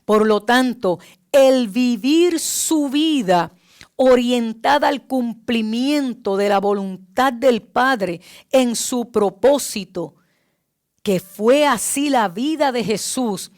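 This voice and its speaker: A woman preacher delivers a devotional exhortation at a very slow pace. Her voice sits a little high, and she places each phrase deliberately, repeating structures for emphasis. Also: animated, a little mellow. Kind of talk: devotional sermon, exhorting with repeated rhetorical questions.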